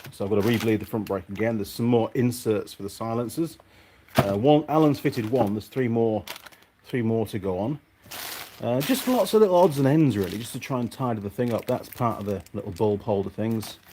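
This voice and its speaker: A man's voice: lowish voice, mellow. A man chatting casually as a vlog host and mechanic, muttering and meandering as he goes. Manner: muttering to himself, hesitant, meandering. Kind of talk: casual rambling workshop vlog. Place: workshop. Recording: noisy, clicks and rustling of parts.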